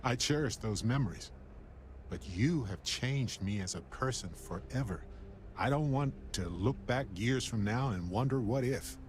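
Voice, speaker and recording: A man playing a warm, sincere middle-aged space explorer in a video game, speaking in a measured, wistful way. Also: mid-range voice, lively intonation, slight background noise, lightly acted. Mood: tender, sincere. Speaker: video game character actor. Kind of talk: dramatic video game dialogue.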